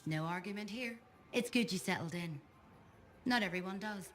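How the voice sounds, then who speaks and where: An actress playing a friendly, down-to-earth mechanic at a sci-fi fort in a video game, warm and familiar in conversation. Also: female voice, mid-range voice, moderate pace, a little hiss or hum, lightly acted. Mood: friendly, candid.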